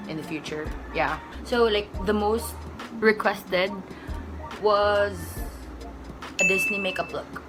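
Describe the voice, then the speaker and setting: Young Filipina woman, Filipino accent, slow lowish chatty delivery, rambling first-time beauty vlogger, music bed underneath. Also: low-quality recording. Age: young adult. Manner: rambling, self-correcting, giggly asides. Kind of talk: casual chatty makeup tutorial. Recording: background music playing.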